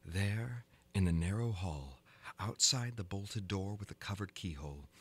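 A man giving a dramatic reading of a gothic horror story in a theatrical, deliberate manner. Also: low voice, lively intonation, breathy edge. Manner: theatrical, deliberate, ornate literary delivery. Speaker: narrator, audiobook reader.

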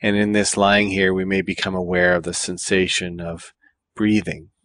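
Meditation guide: male, low register, calm and deliberate, leaving space between phrases. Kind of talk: guided body scan meditation.

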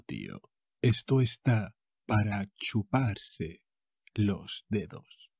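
Castilian spanish guy teaching phrases, super slow, says each one over again, mellow husky voice. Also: lowish voice, no room sound, clean studio sound. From Spain. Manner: says each phrase slowly and repeats it with variants. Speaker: language teacher. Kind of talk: language lesson.

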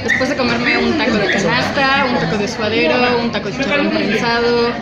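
A woman's voice: a little high, fairly quick. A woman in her thirties with a Mexican accent, chatting enthusiastically to a handheld phone as she vlogs through a market and the city at night. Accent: Mexican Spanish. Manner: chatty, enthusiastic, laughs often. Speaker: vlogger. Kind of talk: casual handheld vlog. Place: busy indoor market, then city street at night. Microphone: phone held at arm's length. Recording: phone audio with loud crowd and music. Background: loud crowd and music.

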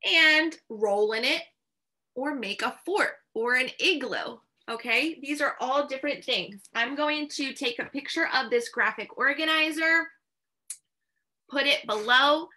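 A woman teacher with a very bright, forward voice, pitched on the high side. She explains things to young students slowly and deliberately, in short simple phrases, as in a recorded online class. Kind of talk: instructional video lesson for young students. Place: small indoor classroom or home teaching room. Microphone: computer webcam at desk distance.

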